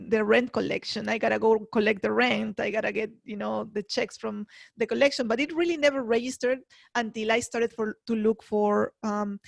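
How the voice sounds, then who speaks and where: A middle-aged woman from Peru, a real estate investor telling her story in a relaxed interview, with many fillers. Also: fairly high pitch, gravelly, no room sound. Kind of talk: conversational interview.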